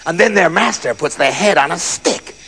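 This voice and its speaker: A man's voice: on the high side, lively intonation, moderate pace, vocal fry, sharp tone, husky, pitched below the performer's own voice, full character voice. A man voicing a horrible, gloating farmer in a children's story, taunting and menacing.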